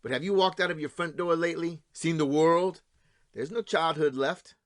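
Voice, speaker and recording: A man's voice: on the high side, normal speed, lightly acted. A man playing a taunting, wheedling estranged husband and father in an audio drama. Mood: challenging. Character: needling, mocking estranged husband and father, hard-drinking. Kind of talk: naturalistic audio drama acting.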